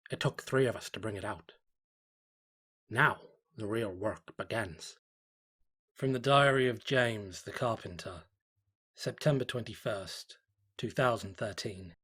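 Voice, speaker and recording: A man playing a fervent cult devotee confiding in a diary, in solemn, measured horror story narration. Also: fairly low pitch, a bit bright, breathy edge. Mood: grave, devout.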